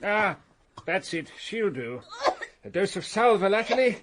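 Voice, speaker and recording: A man's voice: high-pitched, very animated, a little slow, very noisy. A man playing a brusque, no-nonsense English country doctor in a radio mystery, giving blunt commands. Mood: gruff. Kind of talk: 1920s country-house radio mystery acting.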